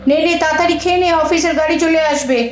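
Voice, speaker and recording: A woman playing a troubled, protective elder sister in an audio drama, with emotional, expressive delivery. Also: very high-pitched, racing. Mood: brisk, bossy. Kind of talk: Bengali audio drama acting. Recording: echoey room, distant mic, music bed.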